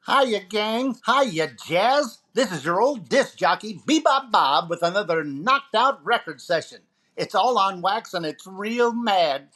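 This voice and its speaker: A man's voice: high voice, expressive, a little slow, bright, forceful tone, exaggerated voice. Brash wisecracking male radio comic as acted by a man, 1940s style, jive-talking disc jockey with showy, punchy announcer patter. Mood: upbeat, showy. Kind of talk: 1940s radio comedy acting.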